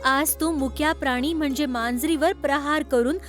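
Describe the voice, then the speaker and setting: Woman as a high-voiced, scolding mother goddess. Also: fairly even intonation, moderate pace, a bit bright, soft background music. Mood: hurt, reproachful. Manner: reproachful, admonishing. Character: mother goddess Parvati, hurt, stern, scolding mother. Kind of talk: animated children's story voice.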